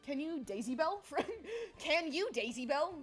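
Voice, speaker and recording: A woman's voice: sits high, expressive, takes it slowly, over loud music. A young adult woman streaming, chatting casually with viewers, giggly and scatterbrained, jumping between topics. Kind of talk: casual livestream chat.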